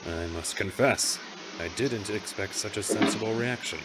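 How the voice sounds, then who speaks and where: A man playing a smug, commanding general in a visual novel, measured and condescending. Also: fairly low pitch, background noise, close to an everyday voice. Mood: commanding, calm. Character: smug, commanding male general pulling rank. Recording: music behind the voice.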